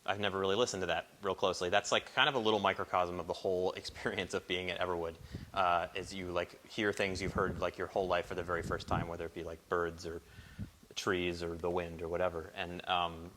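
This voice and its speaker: A man casually introducing a live performance in a barn, explaining things in a halting, thoughtful way. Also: low voice, flat delivery, a bit bright, a little hiss or hum. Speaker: performer introducing an audio play. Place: barn, small live gathering.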